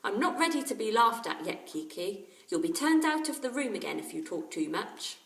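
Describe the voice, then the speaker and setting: A woman's voice: medium pitch, sing-song, normal speed, creaky. A woman voicing a weary, grumpy boy with flu in a storybook reading. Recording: roomy, distant microphone.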